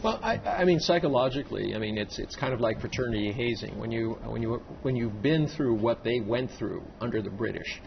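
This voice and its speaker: A man's voice: medium pitch, expressive, fairly quick, gravelly, hardly any pauses, dull audio, noisy background. A man who is a guest on a studio panel, explaining his view in a reflective, conversational way.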